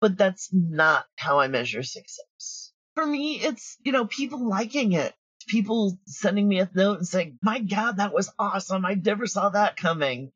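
A woman's voice: medium pitch, animated, moderate pace, fairly bright tone, slightly rough. A woman speaking casually as an interview guest, frank, practical and emphatic. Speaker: guest, audio drama creator. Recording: roomy, dull, lo-fi audio.

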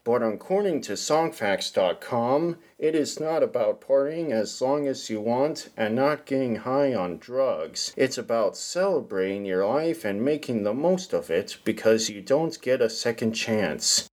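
Guy hosting a podcast, reads his script real slow and measured, mid-range voice. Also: mid-range voice, animated, a little mellow. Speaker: podcast host. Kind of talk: scripted narration.

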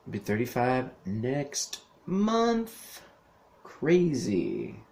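A man's voice: medium pitch, wildly swooping pitch, very slow, creaky, a little mellow. A man in his thirties talking on his own in a rambling solo monologue, with lots of fillers, restarts and casual swearing. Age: 30s. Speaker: podcast host.

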